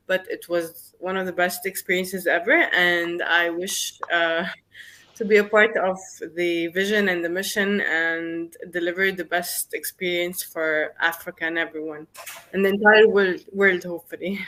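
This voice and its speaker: A woman's voice: lowish voice, fairly even intonation, normal speed, bright, forceful tone, low-quality recording. A woman from Egypt with an Egyptian accent, politely and enthusiastically introducing herself in an online meeting.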